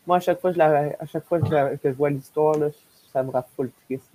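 A man's voice: a little high, steady tone, quick pace, dark tone, slight background noise, rough recording. A young man with a Quebec French accent chatting effusively in a group video call, repeating himself for emphasis. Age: young adult. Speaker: podcast panelist. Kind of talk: casual group discussion. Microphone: wired earbuds on a video call.

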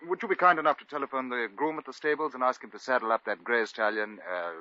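Suave, bored British lord played by a man, very crisp forceful tone, pompous dry wit, vintage radio comedy. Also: medium pitch, fast, no room sound, natural voice. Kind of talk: 1950 radio comedy acting. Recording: muffled vintage 1950s radio recording.

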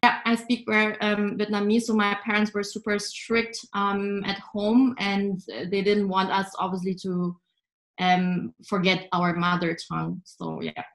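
A woman's voice: mid-range voice, little pitch movement, loud music behind the voice. Young woman speaking in an echoey room. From Germany. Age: 20s. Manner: measured, with frequent ums. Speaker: interview guest, investor. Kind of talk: answering interview questions. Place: home room by a window.

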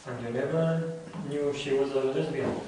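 A man's voice: medium pitch, fairly even intonation, moderate pace, mellow, natural voice. A man with a Spanish accent playing a blunt, girl-chasing young buddy in an amateur script reading. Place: wooden-floored room indoors. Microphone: far camera. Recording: echoey room, distant mic, faint noise.

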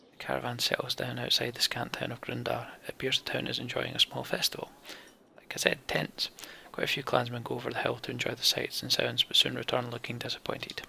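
A man hosting a game playthrough, reading story text aloud and adding casual comments, with a gravelly, breathy voice. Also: mid-range voice, monotone, fairly quick, sharp tone, a little hiss or hum.

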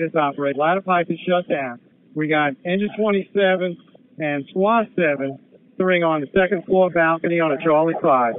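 High-pitched male fire officer on tinny radio. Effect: two-way radio. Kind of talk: emergency radio traffic. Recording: narrow tinny radio channel with noise and clicks.

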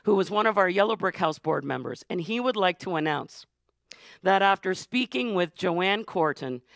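A hoarse Canadian man hosting a live charity gala auction, hyping donors with rapid, energetic calls from a studio stage. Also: high voice, no room sound. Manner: rapid hype, calling out donors. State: hoarse, losing voice. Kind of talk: high-energy live fundraising emcee. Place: studio stage with blue curtains.

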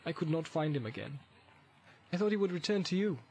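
A man's voice: fairly high pitch, lively intonation, a little hiss or hum, pitched above the performer's own voice. A man voicing a grim, evasive warrior in a subdued, formal manner for a storybook reading. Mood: subdued, defensive. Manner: halting, quiet, formal.

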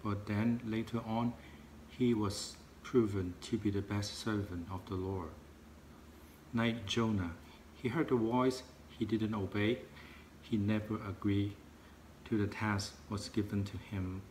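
An earnest man in his forties, a native Cantonese speaker, teaching a children's Bible lesson in simple, careful words. His voice sits fairly low, with a faint hiss behind it. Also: steady tone, a little mellow. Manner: earnest, simple and slow-paced. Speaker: Sunday school teacher. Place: outdoors in a park and a living room.

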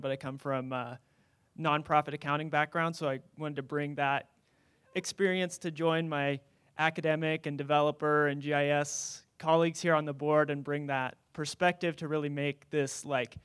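A man, a board member, introducing himself on a conference panel in a quick, earnest way, often restarting phrases, on a handheld mic. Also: fairly high pitch, flat delivery, a bit bright, clean tone, no echo, studio quality. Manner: quick, earnest, self-correcting. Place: conference hall on stage.

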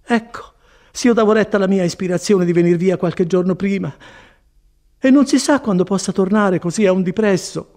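A man's voice: high-pitched, fairly quick, darkish tone, pitched above the performer's own voice. A man voicing a distressed, pleading peasant woman who laments in an audiobook story. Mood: tearful despair. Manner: plaintive, lamenting. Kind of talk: dramatized audiobook reading.

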